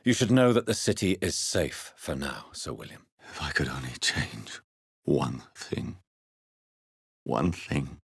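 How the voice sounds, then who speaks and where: A man with a British accent playing a grave, formal gentleman vampire doctor in a dramatic video game, husky-voiced and precise in his diction. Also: very deep voice, very animated, a little slow, no room sound, clean studio sound, heavily acted. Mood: anguished, low.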